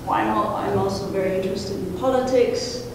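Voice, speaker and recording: A woman speaking as a professor hosting a student discussion, informal and halting as she searches for words. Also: medium pitch, vocal fry. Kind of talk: informal spoken introduction to a guest. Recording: distant, very echoey room, noisy.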